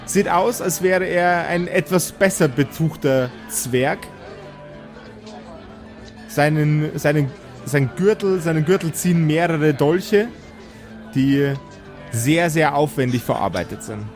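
A man narrating an improvised tabletop role-playing game as game master, haltingly and searching for words. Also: on the high side. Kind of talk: improvised pen-and-paper narration. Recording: music playing behind the voice. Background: background music.

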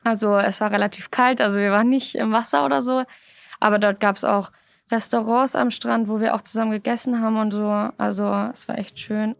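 Young woman, student in interview, casual with fillers, slightly high voice. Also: steady tone, brisk, gravelly, dry recording.

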